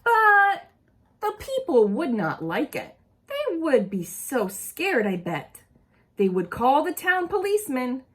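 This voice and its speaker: A woman reading a picture book aloud expressively to young children, pausing to ask them simple questions. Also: sits high, huge pitch swings, a bit bright.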